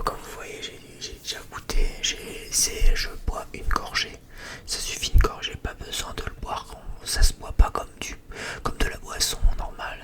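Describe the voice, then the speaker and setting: Whispering ASMR creator, rambling and hesitant. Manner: rambling, hesitant, often repeating words. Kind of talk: whispered ASMR casual chat.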